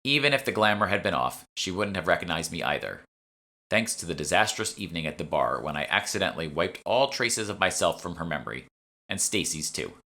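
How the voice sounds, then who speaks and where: A man's voice: low-pitched, very animated, fairly quick, sharp tone. A man reading scripted narration from a fantasy novel as its author. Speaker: author reading own audiobook.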